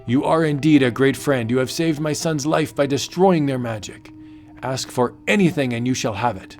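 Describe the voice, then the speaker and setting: A man's voice: mid-range voice, normal speed, darkish tone, soft background music, close to an everyday voice. A man voicing a proud, mighty witch doctor with stilted, declamatory ritual speech in a comic book audiobook. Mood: grave, approving.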